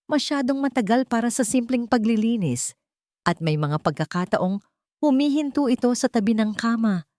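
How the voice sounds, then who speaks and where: Female narrator for dramatic romance fiction. Lowish pitch, dry recording. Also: dark tone, no echo. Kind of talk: dramatic scripted narration of a love story.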